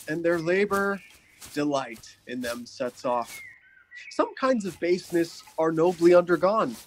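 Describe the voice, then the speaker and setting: A man's voice: a little high, a little hiss or hum, low-quality recording. An actor playing an ardent, gallant young nobleman in love in a Shakespeare radio play, earnest and courtly. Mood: earnest devotion.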